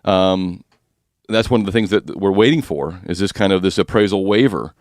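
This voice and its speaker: A man hosting a finance talk radio show, speaking with a slightly rough, husky voice and explaining mortgage rules in a chatty, joking way. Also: low voice, normal speed, dry recording, studio quality.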